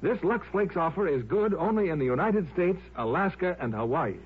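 Husky 1950s radio announcer man. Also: fairly high pitch, animated, moderate pace. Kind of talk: 1950s scripted radio advertising. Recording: narrow old radio broadcast.

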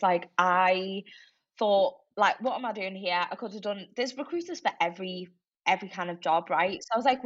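A woman's voice: medium pitch, no room sound. Casting: young Liverpudlian woman, gabby and upbeat guest, dry close sound, slightly dull lo-fi audio. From Liverpool, England. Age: young adult. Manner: chatty, lively, many fillers like "like" and "um". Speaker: tech recruiter, podcast guest. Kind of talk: casual interview chat.

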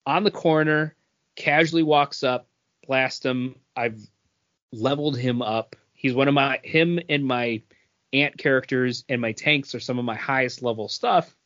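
Male podcast co-host, average pitch, conversational game talk, lo-fi recording. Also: measured pace, a bit bright. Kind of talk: casual chat about mobile games.

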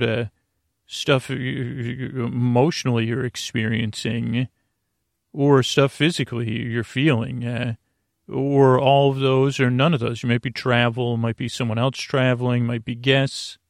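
A man with a creaky voice hosting a bedtime podcast in a soothing, meandering monologue, halting and repeating himself as he drifts into tangents. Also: mid-range voice, dry recording, clean studio sound. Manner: soothing, lulling. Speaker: host of a bedtime story podcast. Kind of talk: rambling sleep-podcast monologue.

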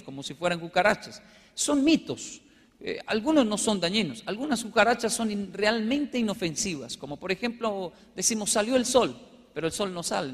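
A Colombian man with a notably high-pitched voice gives a humorous motivational talk. He tells stories in an animated way, acting out short exchanges as he goes. His voice rings with the long reverb of a big hall. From Colombia, with Japanese background. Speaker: motivational speaker. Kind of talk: humorous storytelling talk to a live audience. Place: large hall with live audience.

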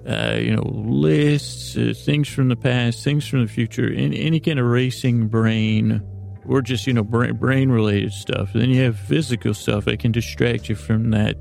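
Gravelly, soothing man rambling for bedtime. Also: medium pitch, a little mellow. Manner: meandering, halting, with pauses and repeated words; soothing, lulling. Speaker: podcast host. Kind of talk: rambling bedtime monologue to lull listeners to sleep.

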